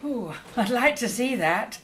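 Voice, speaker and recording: An actress playing a middle-aged Victorian village innkeeper in a radio drama, chatty, inquisitive and fond of gossip. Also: female voice, a little high, lively intonation, a little slow, fairly bright tone, echoey room. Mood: curious, gossipy.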